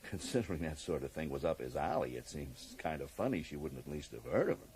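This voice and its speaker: An actor playing a cagey, drily amused federal agent in a radio drama, speaking with polite, evasive hesitations. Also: male voice, extremely low pitch, sing-song, fairly quick, a little mellow, slight background noise, exaggerated voice. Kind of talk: 1980s radio drama acting.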